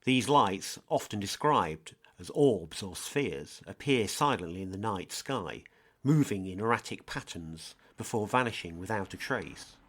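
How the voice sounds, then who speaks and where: A man narrating a scripted true-crime mystery in a measured, dramatic, portentous manner. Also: lowish voice, animated, a bit bright, husky. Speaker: narrator, host.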